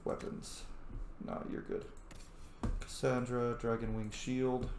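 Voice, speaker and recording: A man's voice: mid-range voice, level tone, takes it slowly, vocal fry, darkish tone. A man giving casual commentary as he plays a game, muttering to himself in short fragments. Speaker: gamer narrating a roleplay playthrough. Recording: echoey room, faint background noise.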